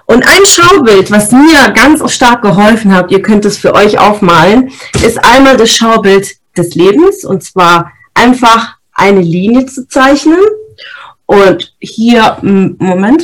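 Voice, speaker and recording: Woman, 30s, higher register; motivational podcast host, explains step by step. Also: rough recording. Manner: lively, explains step by step with frequent "ja?" check-ins. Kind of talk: motivational talk on goal setting.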